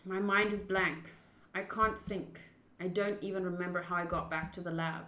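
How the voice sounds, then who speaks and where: A woman streaming a visual novel playthrough, reading the text aloud and chatting with viewers in an expressive way. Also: fairly low pitch, moderate pace, roomy sound. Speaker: game streamer.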